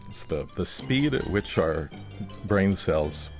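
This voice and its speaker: Very deep-voiced male professor explaining. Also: animated, slow, soft background music. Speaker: professor, interviewed expert. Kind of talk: explanatory interview answers.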